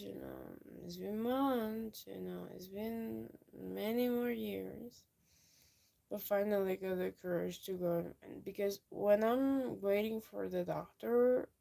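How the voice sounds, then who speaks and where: Young woman, slow drawl, mellow tone, meandering talk. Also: medium pitch, gravelly. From Peru. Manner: meandering, lots of fillers. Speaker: YouTuber. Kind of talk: rambling casual chat.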